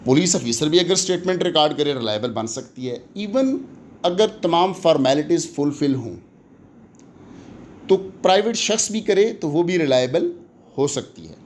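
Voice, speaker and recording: A man with a Pakistani accent lecturing in an explanatory way, deliberate and emphatic, switching between languages as he teaches. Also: fairly high pitch, sing-song, darkish tone, slight background noise. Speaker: law lecturer.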